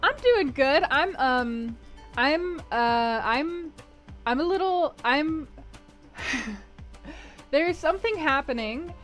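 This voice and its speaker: A woman with a slightly high voice chats loosely with her stream audience, rambling through fillers and breaking into frequent laughs. She is a streamer hosting a read-aloud book club. Soft music plays faintly behind her. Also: lively intonation, slow pace, pauses often. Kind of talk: casual chat with live chat before a stream reading.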